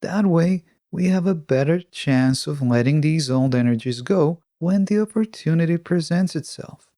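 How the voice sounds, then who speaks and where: A man hosting a self-help podcast, speaking in an earnest, instructive way with measured, scripted phrasing. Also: on the high side, dark tone, dry recording, studio quality. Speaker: podcast host.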